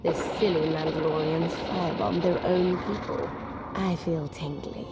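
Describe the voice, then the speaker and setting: A woman's voice: fairly low pitch, fairly even intonation, a little slow, lightly acted. A woman playing a giddy, cruel young imperial princess in a video game cutscene, with a playful, airy, menacing manner. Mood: gleeful menace. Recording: loud music behind the voice.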